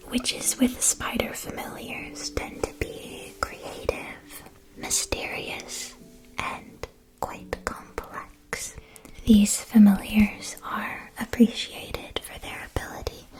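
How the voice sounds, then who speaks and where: A woman playing a gentle, attentive witch school shopkeeper in an ASMR roleplay, speaking in a soft, breathy whisper with careful, polite phrasing. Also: on the high side, exaggerated voice, poor audio.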